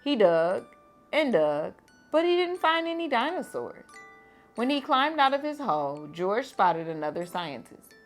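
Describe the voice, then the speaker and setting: Slow, gentle woman reading a bedtime story. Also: a little high, big pitch swings, faint music behind. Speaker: teacher reading aloud. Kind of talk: children's bedtime read-aloud.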